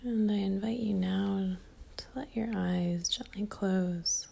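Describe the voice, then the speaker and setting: A woman leading a guided meditation in a soft, breathy near-whisper, calm and gentle, leaving long pauses. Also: mid-range voice.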